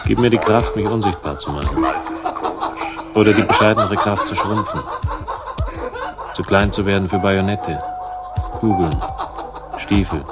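A man playing a world-weary, sardonic investigator who speaks drily and deliberately in a 1960s radio crime drama. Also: on the low side, loud music behind the voice, natural voice. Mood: bitter, brooding. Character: world-weary, sardonic male investigator narrating his own story.

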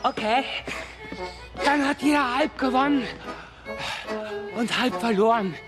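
A man acting a restless, playful father in a children's radio play, musing and gently evasive as he banters. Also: very high-pitched, slow. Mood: playful, affectionate. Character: restless, playful father talking with his young daughter. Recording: studio radio play with music.

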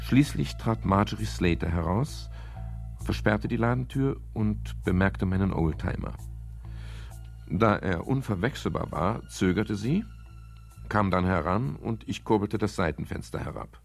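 Gravelly, low-voiced wry detective. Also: male voice, lively intonation, unhurried, faint music behind. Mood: wry, musing. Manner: ornate, digressive, dryly ironic, courteous. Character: urbane, wry British private detective narrating his case. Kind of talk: scripted 1970s radio crime drama narration.

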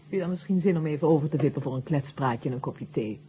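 An actress plays a hospitable, anxious woman at home. Her voice sits a little low and she speaks politely and helpfully. It is a vintage radio drama recording, dull and faintly hissy. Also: fast, close to an everyday voice. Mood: hospitable, slightly anxious. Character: Helen, obliging, anxious, hospitable sister-in-law. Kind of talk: 1970 Dutch radio drama acting.

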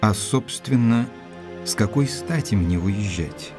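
An actor playing an observant, courteous gentleman who narrates an audio drama in a measured, literary, polite way. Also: on the low side, lively intonation, over music. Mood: courteous, puzzled.

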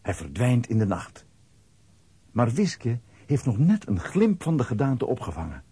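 A man with a husky voice narrating a scripted children's audio play. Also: mid-range voice, huge pitch swings, unhurried, warm tone, no room sound.

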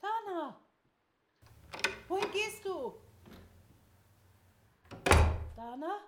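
A woman's voice: extremely high pitch, lively intonation, slow, background noise, exaggerated voice. A woman playing a spiteful, mocking, blind old mother in a German radio drama, taunting and scornful. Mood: anxious calling. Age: older.

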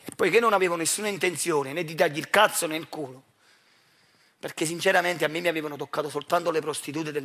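A man from Naples with a Neapolitan accent delivers a dramatic theatrical monologue in a deliberate, confiding way, addressing his listeners directly. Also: on the high side, fairly bright tone, slightly rough. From Naples, Italy. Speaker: actor and writer performing his own monologue.

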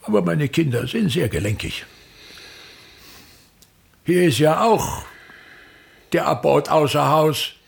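An actor playing a disdainful, self-pitying middle-aged touring theatre man in a radio play, declaiming and complaining grandly with a gravelly voice. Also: fairly high pitch, lively intonation, slow pace, long pauses, exaggerated voice. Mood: grumbling, then demanding. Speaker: actor playing a travelling playwright-actor. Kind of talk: theatrical radio drama monologue.

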